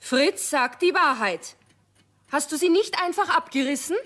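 A woman playing a brisk, strict but kindly mother in a children's radio play. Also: high voice, lively intonation, a bit bright. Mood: stern.

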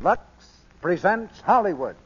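A man's voice: high voice, very animated, measured pace, fairly bright tone, husky. Male announcer, raised emphatic voice, vintage lo-fi sound over music. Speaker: radio announcer. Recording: dull old radio broadcast.

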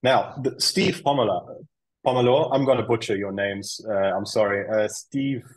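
Low-voiced man moderating a webinar. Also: moderate pace, poor audio. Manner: steady, reads out audience questions.

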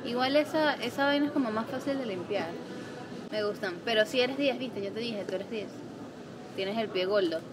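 Woman, late 20s, Venezuelan accent, chatty and playful, camera held at arm's length, steady noise behind. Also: fairly high pitch, moderate pace. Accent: Venezuelan Spanish. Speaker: vlogger. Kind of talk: casual vlog chat to camera. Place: shop aisles, then a small living room. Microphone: handheld camera at arm's length.

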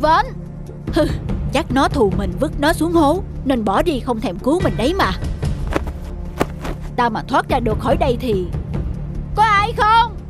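A man's voice: extremely high pitch, sing-song, measured pace, pauses often, music in the background, exaggerated voice. A man voicing a fretful, self-serving village man in a folktale cartoon, exclaiming and muttering to himself. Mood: pained, desperate. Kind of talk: Vietnamese folktale cartoon dubbing.

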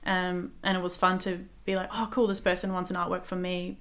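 A woman's voice: lowish voice, monotone, a little fast, a bit bright. A woman, chatting casually in an interview and often hesitating as she searches for words. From Australia and Israel. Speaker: guest, visual artist. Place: living room in a home. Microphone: desktop microphone on table.

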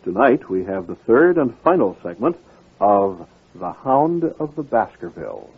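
Husky male radio announcer, phone-like sound. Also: lowish voice, big pitch swings, measured pace. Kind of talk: scripted ad and programme announcements.

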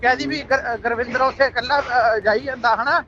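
High-pitched, fast-talking Malwai Punjabi man. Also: steady tone, sharp tone. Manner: insistent, repetitive instructing. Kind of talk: casual phone conversation. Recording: dull lo-fi recorded phone call, faint music.

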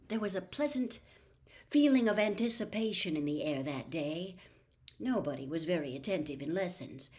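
An older woman in her sixties or beyond reading a storybook aloud with expressive, dramatic phrasing. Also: on the low side. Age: 60s or older. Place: small book-lined room at home.

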